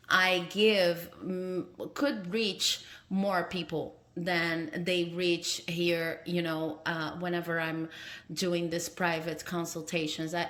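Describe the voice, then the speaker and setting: A woman's voice: fairly low pitch, fairly bright tone. Brazilian-accented woman reading tarot on a phone. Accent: Brazilian Portuguese. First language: Portuguese. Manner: friendly, tags sentences with "okay?", laughs between phrases. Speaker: tarot reader. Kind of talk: casual talk to camera.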